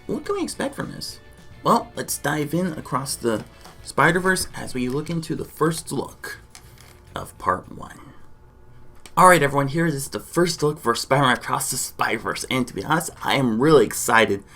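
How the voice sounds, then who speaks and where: Guy doing an excited reaction video, voice swings up and down, music playing behind. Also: medium pitch. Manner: excitable, exclaiming, repetitive. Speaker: YouTube reaction host. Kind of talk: enthusiastic reaction and discussion vlog.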